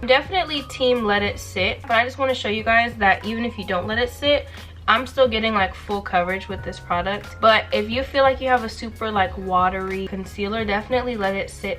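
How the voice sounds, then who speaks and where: A woman's voice: mid-range voice, sharp tone. A young woman chatting casually to her viewers as a beauty vlogger while doing her makeup, getting over a cold. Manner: chatty, playful, talks straight to viewers. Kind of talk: casual get-ready-with-me chat.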